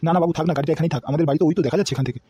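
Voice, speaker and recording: A man's voice: a little high, rapid-fire, dark tone, faint music behind, natural voice. A man voicing a scheming, foul-mouthed servant in a comedy cartoon, sly, crude and grumbling. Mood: coaxing.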